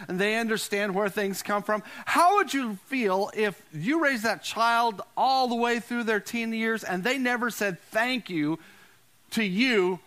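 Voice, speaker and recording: Male pastor, high-pitched voice, forceful bright tone, conversational sermon delivery, repeated key phrases, questions to the congregation. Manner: repeats phrases for emphasis, asks listeners questions.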